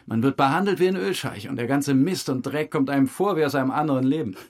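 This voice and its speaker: An actor playing a chummy, self-satisfied murder suspect who breezily dodges questions in a German crime audio drama. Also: male voice, medium pitch, fast talker, natural voice. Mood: smug, dreamy. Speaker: suspect under interrogation.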